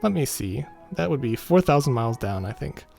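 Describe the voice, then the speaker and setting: Guy doing a curious little storybook girl thinking out loud to herself, mid pitch, music under it. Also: big pitch swings, brisk, vocal fry. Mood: musing. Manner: musing, talking to herself.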